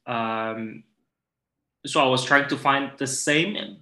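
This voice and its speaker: Male guest, thirties, Malaysian accent, bright forward voice, casual chat, roomy echo. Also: mid-range voice. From Borneo, Malaysia. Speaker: podcast guest. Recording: echoey, roomy sound.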